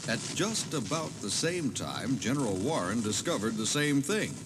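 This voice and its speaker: A man's voice: medium pitch, very animated, normal speed, lightly acted. A man explaining a battle as a history tour commentator, in a conversational, dramatized style. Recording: noisy old cassette tape.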